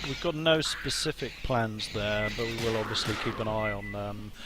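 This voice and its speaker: A man, a game developer, chatting hesitantly on a live stream while playing, somewhat hoarse as he loses his voice. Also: fairly low pitch, moderate pace, heavy background noise. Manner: hesitant, many ums and restarts. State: losing his voice, coughing. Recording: music playing behind.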